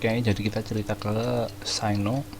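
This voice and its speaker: Low-voiced man commenting on a game. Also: little pitch movement, fairly quick, very noisy. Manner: casual, slangy, rambling. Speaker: gaming streamer. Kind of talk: casual game playthrough commentary. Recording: commentary over game music and dialogue. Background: video game music.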